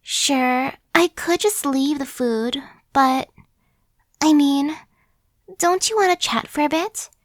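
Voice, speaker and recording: A woman's voice: sits high, takes it slowly, dry recording, clean studio sound. A woman voicing a chatty, friendly female zombie who coaxes and persuades in a casual, sly way, in an ASMR roleplay. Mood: coaxing.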